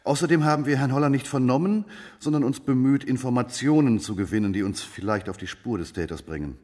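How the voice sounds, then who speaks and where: An actor playing a calm, matter-of-fact detective inspector in a radio drama, businesslike and quietly stubborn. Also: male voice, medium pitch, natural voice. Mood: defensive, measured. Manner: measured, businesslike questioning. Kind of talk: German radio drama acting.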